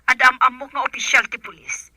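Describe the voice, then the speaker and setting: A woman's voice: high-pitched, little pitch movement, a little fast, pushed and bright, no echo. A woman playing a resolute, commanding aunt in a radio drama, giving urgent instructions. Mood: urgent, instructing. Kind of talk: Ilocano radio drama acting.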